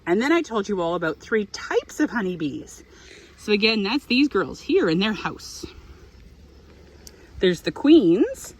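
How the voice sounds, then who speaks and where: A woman's voice: medium pitch, big pitch swings, unhurried, long pauses, husky, low-quality recording. A woman reading a picture book aloud to young children, expressively, with explanatory asides. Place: outdoors in an apiary.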